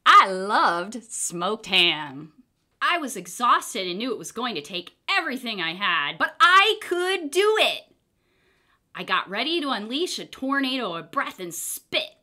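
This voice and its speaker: A woman reads a children's story aloud. Her voice is bright and forceful, with wide, lively swings in pitch. The delivery is expressive and theatrical. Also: unhurried. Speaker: storybook reader. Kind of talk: dramatic children's book read-aloud.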